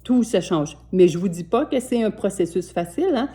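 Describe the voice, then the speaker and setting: Québécois woman coach, sixties, mellow warm tone, earnest and repetitive. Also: medium pitch, lively intonation, moderate pace. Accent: Québécois French. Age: 60s. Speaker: life coach. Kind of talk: motivational self-help talk to camera. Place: home.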